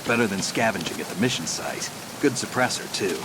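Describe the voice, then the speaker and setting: An actor playing a wary, terse special-operations veteran in a video game, with a gruff, gravelly voice. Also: male voice, fairly low pitch, expressive, a little fast, natural voice. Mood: terse, assured. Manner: terse, dry, guarded. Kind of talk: video game cutscene acting. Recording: heavy noise of rain and vehicles.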